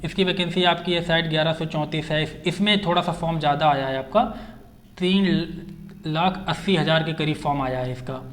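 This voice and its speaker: A man's voice: fairly high pitch, steady tone, fairly quick, a bit bright, a little hiss or hum. A man speaking as a coaching teacher, giving an informal explanatory update and repeating key phrases for emphasis, with many English words mixed in.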